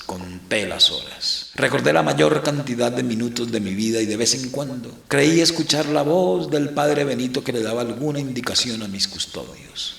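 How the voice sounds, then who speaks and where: A man playing a condemned priest in an audio drama, narrating his confession in a deliberate, brooding way. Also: mid-range voice, creaky, bright, forceful tone. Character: condemned male priest turned corporal, confessing his imprisonment. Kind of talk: scripted first-person dramatic narration. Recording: roomy, echoing narration.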